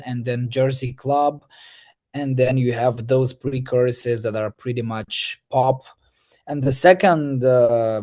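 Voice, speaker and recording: A man's voice: mid-range voice, warm tone. A young man from Moldova, answering interview questions in English with many fillers and restarts. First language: Romanian. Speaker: Eurovision artist.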